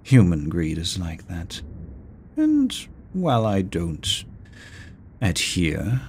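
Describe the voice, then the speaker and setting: A man's voice: low voice, wildly swooping pitch, a little mellow, slight background noise, exaggerated voice. A man voicing a seductive, courteous immortal incubus demon in a roleplay audio drama, speaking to the listener in a silky, theatrical way. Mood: amused, matter-of-fact. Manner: slow, silky, theatrical, courteous with drawn-out words. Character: seductive, teasing male incubus demon, immortal deal-maker.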